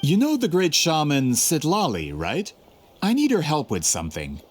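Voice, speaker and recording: A formal, persuasive publisher, pitch swinging a lot; game dialogue. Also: male voice, wildly swooping pitch, normal speed, slight background noise. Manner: formal, courteous, salesman-like. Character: polished adult male book publisher, courteous, persuasive. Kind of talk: video game voice acting.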